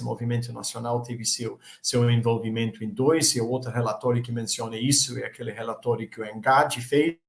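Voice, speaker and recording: Halting man speaking in an echoey room. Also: medium pitch. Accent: Brazilian Portuguese. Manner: halting, with many repeated words and fillers. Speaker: panel speaker. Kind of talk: presentation with slides in a live panel talk.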